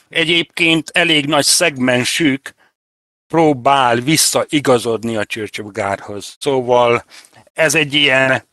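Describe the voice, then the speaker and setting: An older man, an interview guest, explaining things conversationally in measured, separate phrases. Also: medium pitch, a bit bright, no room sound, clean studio sound. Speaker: interview guest, church representative.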